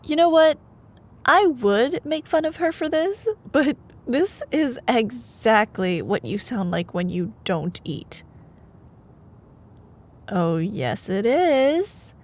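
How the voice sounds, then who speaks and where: A woman in an ASMR bedtime storytelling style, expressive and playful, like a girlfriend reading aloud. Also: fairly high pitch, takes it slowly.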